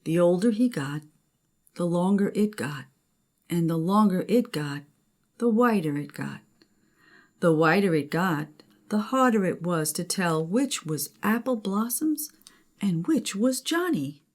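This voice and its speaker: A woman's voice: on the low side, animated, unhurried, darkish tone. An older woman, a grandmother, reading a children's storybook aloud.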